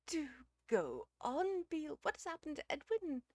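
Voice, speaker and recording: Woman acting a high-pitched, fretful married lady. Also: wildly swooping pitch, moderate pace, darkish tone, no room sound, clean studio sound. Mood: pleading. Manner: breathless exclamations, pleading. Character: agitated, tender-hearted married lady fretting over her cat.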